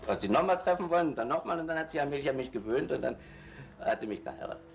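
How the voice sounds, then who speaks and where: A man's voice: on the high side, expressive, very fast, creaky, music in the background. An older man, born in Shanghai, telling his own story chattily, with hesitations and self-corrections. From born in Shanghai, later lived in Germany. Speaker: interviewee. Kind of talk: casual reminiscing.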